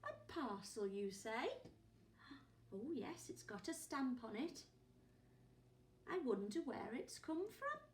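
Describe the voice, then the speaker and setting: A woman's voice: fairly high pitch, very animated, slow, long pauses, slight background noise. A woman, a primary teacher reading a picture book to young children, asking them questions expressively. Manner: asks listeners questions, gasps and whispers expressively. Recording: roomy echo, distant microphone.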